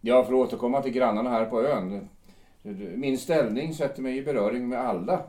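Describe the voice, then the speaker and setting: Reserved middle-aged friend, played by a man, low and dark, measured, in an echoey room. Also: close to an everyday voice. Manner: quiet, measured, dry replies. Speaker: actor in an audio drama.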